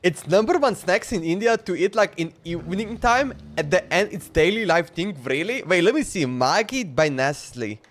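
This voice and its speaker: A man's voice: high-pitched, lively intonation, normal speed, few pauses. A man live-streaming a game with casual, rambling, repetitive reaction commentary. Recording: game music behind the voice.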